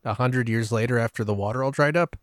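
A man with a mid-pitched voice chats casually on a gaming podcast. The sound is very dry, with no room around him, and his delivery is informal. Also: quick pace, no echo. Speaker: podcast guest.

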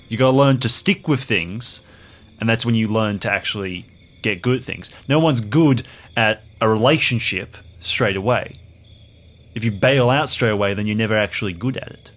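A man's voice: fairly low pitch, normal speed, pauses often, fairly bright tone. A man voicing a blunt, earnest friend giving plain-spoken advice in an improvised audio drama. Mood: firm.

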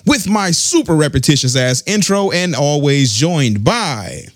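A man hosts a casual pop culture podcast, talking loose and slangy. His pitch swings a lot as he riffs, and he laughs often. The sound is dry and close on a podcast mic. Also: big pitch swings, a little slow, no echo. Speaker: podcast host. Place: studio or home studio, live on stream.

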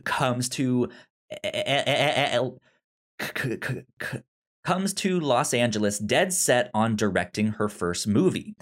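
A man co-hosting a casual review podcast, talking in a halting way with some stammering. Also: mid-range voice. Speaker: podcast co-host.

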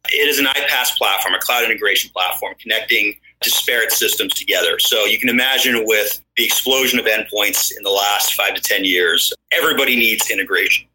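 A man with an American accent whose first language is Danish, a guest on a podcast interview who explains things in a measured, thoughtful way. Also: on the low side, bright, forceful tone, rough recording. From born in London, raised in the US. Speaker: guest, marketing executive.

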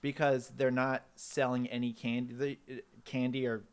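A man co-hosting a podcast in casual comedic chat, with an excitable, rambling, playful way of talking. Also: medium pitch, moderate pace, smooth. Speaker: podcast co-host.

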